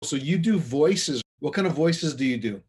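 A man's voice: a little high, expressive, normal speed, darkish tone, husky. A man hosting a casual interview, asking questions in an easygoing, slightly hesitant way. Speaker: interviewer, host.

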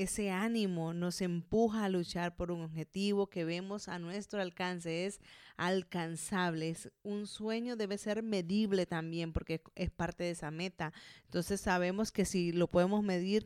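Clear-voiced Colombian woman giving motivational talk. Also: medium pitch, darkish tone, dry recording, clean studio sound. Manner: encouraging, addresses the listener directly. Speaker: podcast host and motivational speaker. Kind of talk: motivational monologue, conversational.

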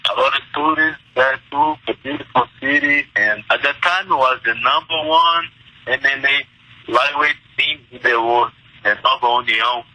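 A young man from Brazil with a Brazilian Portuguese accent, a native Portuguese speaker, answering interview questions in halting English with frequent restarts. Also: mid-range voice, sharp tone, low-quality recording. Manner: halting, restarts in second language. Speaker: interview guest, MMA fighter.

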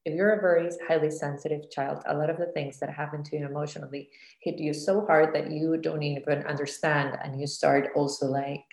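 A woman from Costa Rica speaks slowly and reflectively in a low voice, often pausing on "let's say" and "like." The sound carries a clear echo from the room around her. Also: flat delivery, darkish tone. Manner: reflective, frequent "let's say" and "like" fillers. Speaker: guest. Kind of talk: casual interview conversation. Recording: echoey room.

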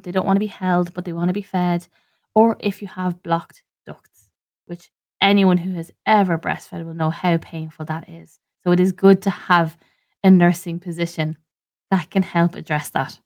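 A woman's voice: lowish voice, level tone, moderate pace, a little mellow. An Irish woman hosting an informative podcast, stuffy with a cold and a slightly sore throat, explaining earnestly with personal asides. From Ireland. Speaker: podcast host, ergonomics advisor. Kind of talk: informative solo podcast talk.

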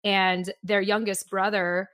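A woman's voice: mid-range voice, level tone, slow pace, a bit bright, no echo. A woman hosting a podcast, talking reflectively and rambling a little with fillers. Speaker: podcast host.

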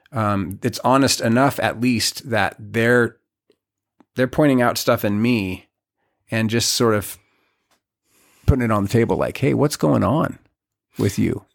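A man in his forties, a guest on a podcast, talking in a reflective, halting way with many restarts. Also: medium pitch. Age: 40s. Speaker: podcast guest, community leader. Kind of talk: casual podcast conversation.

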